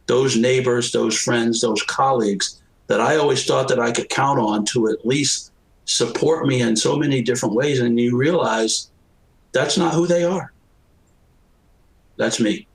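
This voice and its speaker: A man's voice: mid-range voice, measured pace, rough recording. An American man speaking at a panel discussion. He talks earnestly and with emphasis, repeating phrases for effect. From United States. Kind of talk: personal, earnest panel remarks.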